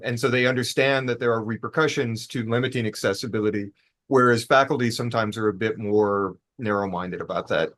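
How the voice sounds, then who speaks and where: A man's voice: lowish voice, fairly bright tone. A man on a panel, a faculty member, speaking frankly and matter-of-factly. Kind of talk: panel discussion remarks.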